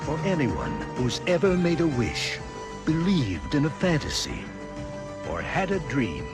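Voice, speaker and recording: A man narrating a film trailer in a grand, deliberate storytelling manner. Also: fairly high pitch, huge pitch swings. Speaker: trailer announcer. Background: loud trailer music.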